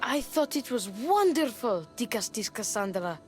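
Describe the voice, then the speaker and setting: A woman's voice: on the high side, big pitch swings, normal speed, soft background music. An actress playing a small, polite, earnest and worried young boy in a video game. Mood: shy, admiring. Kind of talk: dramatic video game acting.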